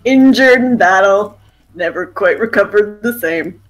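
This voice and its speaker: A woman's voice: medium pitch, expressive, unhurried, faint music behind, low-quality recording. A woman voicing a young retired knight, a smiling, knowing man with a soothing manner, in a visual novel. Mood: wistful.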